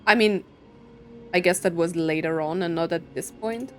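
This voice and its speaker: A woman's voice: lowish voice, moderate pace, slight background noise. A woman giving casual let's-play commentary, thinking aloud in a puzzled, speculative way. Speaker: gaming content creator playing and reacting to a story quest.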